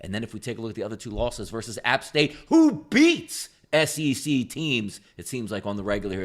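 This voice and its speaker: A man hosting a sports betting show, giving game analysis with an emphatic, punchy sports-radio delivery. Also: medium pitch.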